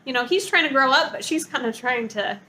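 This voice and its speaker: A woman's voice: sits high, lively intonation, brisk, sharp tone, a little hiss or hum, low-quality recording. A woman giving a casual, chatty book review in a bubbly, gushing, informal way.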